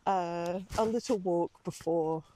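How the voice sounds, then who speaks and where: A woman's voice: fairly low pitch, takes it slowly, warm tone, a little hiss or hum. A woman with an Australian accent chatting casually in a dry, understated way, as a podcast host out walking. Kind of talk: casual unscripted-sounding chat on a walk. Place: outdoors, walking along a small-town street.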